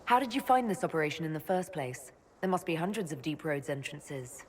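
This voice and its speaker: Composed, lower-pitched elf mage leader. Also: female voice, big pitch swings, fairly quick. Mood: probing, composed. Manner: questioning, dry, authoritative. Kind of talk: video game cutscene acting. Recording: echoey reverb, clear background noise.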